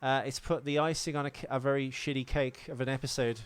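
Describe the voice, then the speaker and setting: A man's voice: mid-range voice, steady tone, quick pace, clear, resonant tone. A British man hosting a podcast in casual, sweary and self-deprecating banter. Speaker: podcast host.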